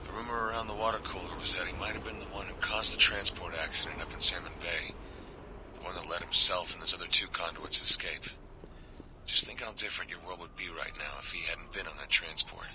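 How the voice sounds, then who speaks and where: An actor playing a world-weary government agent, confiding troubled reports in measured video game audio logs. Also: male voice, low-pitched, fairly even intonation, background noise, natural voice. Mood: wry, reflective.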